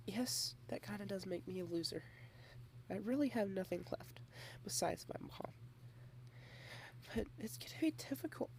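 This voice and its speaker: Shy, half-whispering young woman with vocal fry. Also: mid-range voice, expressive, a little hiss or hum. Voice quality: soft, often half-whispered. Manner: shy, rambling and halting, with many repeated starts. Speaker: ASMR roleplay creator. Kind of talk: ASMR girlfriend roleplay.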